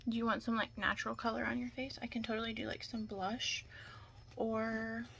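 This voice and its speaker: A young woman doing an ASMR roleplay in whispers and soft talk, chatting casually and reassuringly close to the mic. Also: fairly high pitch, flat delivery, moderate pace, gravelly, a little hiss or hum. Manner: casual, chatty, reassuring makeup artist patter.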